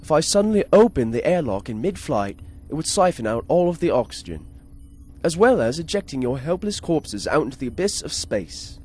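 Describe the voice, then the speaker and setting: Man playing a high-pitched, courteous ship's computer. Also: big pitch swings, a little fast, darkish tone, slightly rough, soft background music. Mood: formal, eager. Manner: formal, courteous, precise wording. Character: polite, formal male ship's computer with murderous glee. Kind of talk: 1950s-style space radio serial acting.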